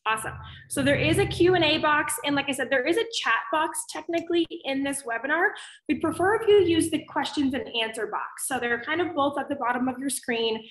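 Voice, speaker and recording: A woman from Pittsburgh hosting a live teaching webinar, her voice hoarse and raspy from allergies, talking in an upbeat, chatty way. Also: sits high, fairly quick, a bit bright, reverberant, low-quality recording. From Pittsburgh, USA. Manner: upbeat, chatty, often says "awesome". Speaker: webinar host and educator.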